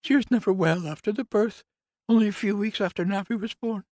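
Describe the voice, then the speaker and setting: A man's voice: sits high, fairly quick, a little mellow, no echo, clean studio sound, pitched above the performer's own voice, natural voice. A man voicing a grieving woman in her fifties, an old family nurse, in a tearful, wavering voice for an audiobook. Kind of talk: audiobook character voice.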